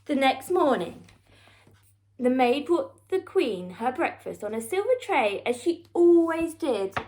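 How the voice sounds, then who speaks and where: A woman narrates a children's story in a high, very animated voice. She takes her time, leaning on key words with theatrical emphasis, like a scripted storybook reading. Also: a little slow.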